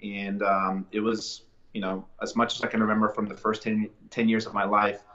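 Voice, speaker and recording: A man from Colombia, a podcast guest, telling his life story in a relaxed, reflective way with many fillers and restarts. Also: fairly low pitch, moderate pace, dull audio. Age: adult, a father. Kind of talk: casual interview storytelling.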